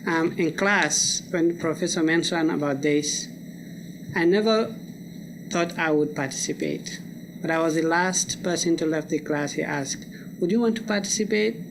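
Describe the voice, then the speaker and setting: A man's voice: a little high, moderate pace. A young man who speaks English as a second language, sharing personal reflections in plain short sentences at a live event. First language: a language other than English. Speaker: student poetry reader. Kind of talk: unscripted personal reflection to an audience. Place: live college lecture event. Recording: clearly noisy live event recording.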